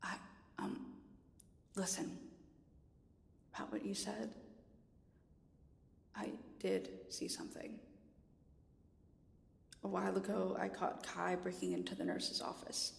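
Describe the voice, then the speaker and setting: A woman playing a hesitant, secretive nurse in a radio theatre drama, speaking in a hushed manner. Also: mid-range voice, very animated, takes it slowly, creaky, long pauses, heavily acted. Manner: hushed, hesitant, often near whisper. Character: hesitant, secretive female nurse, gentle with patients. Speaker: actor in audio drama. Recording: echoey, long reverb.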